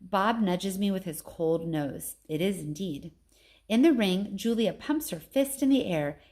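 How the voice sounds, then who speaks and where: A woman teacher reading a children's novel aloud in an expressive storytelling style. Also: medium pitch, a little slow.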